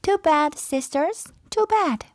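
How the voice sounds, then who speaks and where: A woman's voice: sits high, animated, slow, no room sound, clean studio sound, voice pushed up. A woman voicing a confident, bossy elder princess in a storybook, airy and sing-song. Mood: smug.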